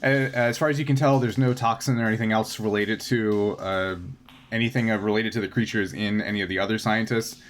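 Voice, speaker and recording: A man's voice: on the low side, steady tone. Hesitant man running a game. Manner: hesitant, halting explanations. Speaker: game master. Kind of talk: running a tabletop sci-fi game, casual.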